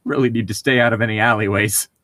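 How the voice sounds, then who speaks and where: Dude podcast host, casual banter, swears a lot, exasperated, close dry sound. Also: male voice, lowish voice, fairly even intonation, fast, bright, forceful tone, no echo. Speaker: podcast host reading fan fiction aloud. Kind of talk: casual banter and mock-dramatic read-aloud.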